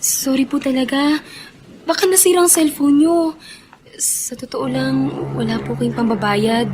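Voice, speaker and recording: Radio drama casting: anxious young female runaway, higher-pitched, deferential, pleading; slightly noisy recording. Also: steady tone, measured pace, a little mellow. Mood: flustered, apologetic. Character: timid young runaway factory worker woman, polite and anxious. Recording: clearly noisy radio drama track with ambience.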